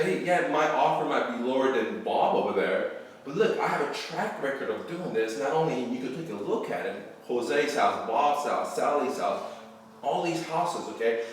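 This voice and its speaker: A man's voice: mid-range voice, slight background noise. A man from Iran, a real estate investor and channel host, giving loose, rambling advice to camera and checking in with "okay?" as he goes, with the odd swear word. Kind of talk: casual instructional talk to camera. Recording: very echoey room, mic far off.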